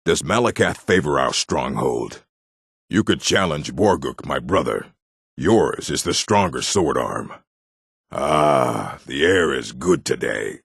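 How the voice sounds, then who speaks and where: An actor voicing a proud, gruff orc warrior in a fantasy video game, gravelly and husky, with a stern, blunt delivery. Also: male voice, very deep voice, a bit bright, dry recording, studio quality, full character voice.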